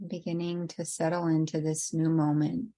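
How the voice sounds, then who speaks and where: A woman leading a guided meditation in an unhurried, gently inviting way with long pauses. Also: deep voice, level tone.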